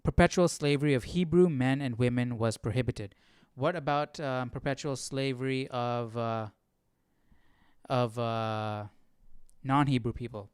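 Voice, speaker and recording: A man's voice: mid-range voice, fairly even intonation, measured pace, clear, resonant tone, no room sound. A man with a clean, resonant voice hosts an informal study session. He alternates reading aloud with questioning, off-the-cuff remarks and checks for agreement. The sound is dry and close, with little room in it. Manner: questioning, thinking aloud, often seeking agreement. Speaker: host of a livestream Bible study.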